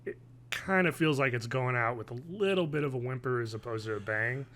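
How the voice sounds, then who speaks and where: Guy in his 30s, bright voice, casual podcast cohost, kinda halting, on a video call. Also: medium pitch. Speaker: podcast co-host. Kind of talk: casual review chat. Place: home living room, video call.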